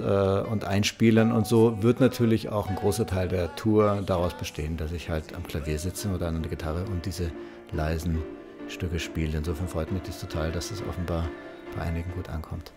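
A man in his forties presents informally into a close studio microphone in a small room. His voice sits low with a creaky, fry-laden edge. He talks conversationally and pauses to think, with some music behind him. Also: a little mellow. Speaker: host and musician. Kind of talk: casual scripted-free video news presentation. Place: small wood-panelled room, indoors. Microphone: large studio condenser microphone close in front.

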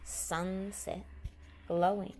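A woman reading a picture book aloud to young children with dramatic, drawn-out, expressive delivery. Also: medium pitch, slight background noise.

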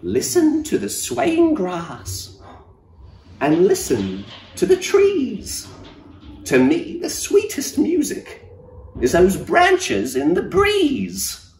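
A man voicing a kindly, wise male cricket in a soft, coaxing, high voice for a storybook reading. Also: huge pitch swings, extremely slow, creaky, a little hiss or hum, exaggerated voice. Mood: gentle. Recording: roomy echo, distant mic.

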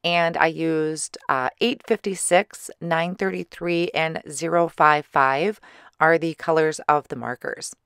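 A woman giving a chatty voice-over for a card-making tutorial, her voice slightly hoarse. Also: low voice, slow, no room sound, clean studio sound. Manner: relaxed, chatty explaining with small fillers. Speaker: card-making channel host. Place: recorded separately as voice-over.

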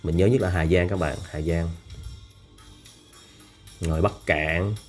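Low-voiced man vlogging. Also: fairly even intonation, a little slow, darkish tone. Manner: chatty, hesitant with frequent fillers, lists places. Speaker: food and travel vlogger. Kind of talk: casual narration while riding through city streets. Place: riding a motorbike on a busy city street at night. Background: street music.

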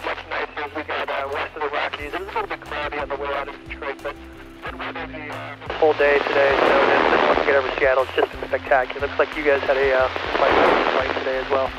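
A man talking steadily over ham radio with measured pauses, sounding dull through the radio link. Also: mid-range voice, completely flat delivery, over loud music. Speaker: airline pilot on ham radio. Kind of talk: casual radio chat.

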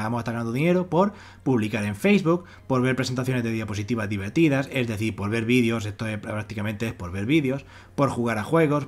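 Man, accent from Spain, mid-pitched voice, casual tutorial narration, wordy, repetitive, explanatory. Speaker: YouTube presenter of money-making websites. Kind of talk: casual screen-share walkthrough narration. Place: voice-over on a screen recording.

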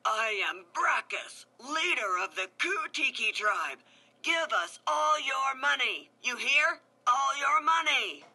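Woman doing a greedy bad guy tiki boss, yelling, bright and bossy. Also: high voice, animated, slow, slightly rough, pitched above the performer's own voice, exaggerated voice. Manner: shouting demands.

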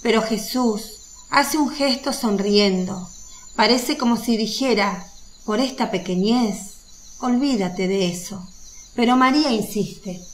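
A woman narrating a religious radio drama from a script, in a measured storytelling way. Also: fairly high pitch, slight background noise.

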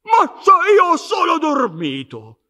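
A drunken, violent braggart of a husband from a puppet farce. He is bombastic and stammers over his words in a bright, forceful voice. The pitch runs very high and animated, and the pace is slow. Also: exaggerated voice. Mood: furious. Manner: bombastic, spluttering, stammering repetitions. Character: blustering, drunken, jealous puppet-show husband, boastful and violent. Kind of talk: theatrical farce acting.

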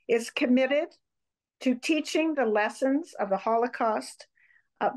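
An older woman from Montreal gives a public presentation by video call from a room at home. Her voice sits fairly high as she speaks as a guest co-founder of an organization. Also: poor audio. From Montreal, Canada.

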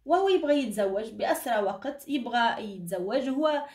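Woman, fairly high voice, slightly tired, reassuring fortune-teller, roomy echoing sound. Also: animated, brisk, a little mellow. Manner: reassuring, uses endearments and blessings. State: tired, strained voice. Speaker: card reader, channel host. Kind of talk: informal fortune-telling reading to camera. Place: indoor room at a table. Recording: echoey room, distant microphone.

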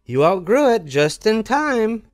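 A man voicing a gentle, reassuring mother bear from a storybook, in a soothing, motherly way. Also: sits high, big pitch swings, takes it slowly, no echo, studio quality, voice pushed up, heavily acted. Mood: pleased.

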